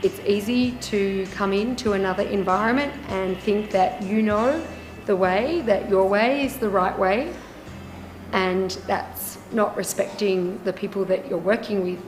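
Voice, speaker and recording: A woman's voice: mid-range voice, unhurried. An Australian woman volunteering at a hospital, reflecting thoughtfully in an interview. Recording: roomy sound with music behind.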